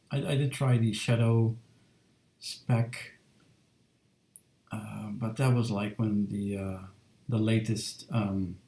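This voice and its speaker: Man, 30s, relaxed streamer, gravelly and warm, roomy home mic. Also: on the low side. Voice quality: soft, relaxed and unhurried. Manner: chatty, lots of fillers, reads chat. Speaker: streamer drawing fan art. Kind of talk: casual live-stream chat while drawing. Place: home studio room.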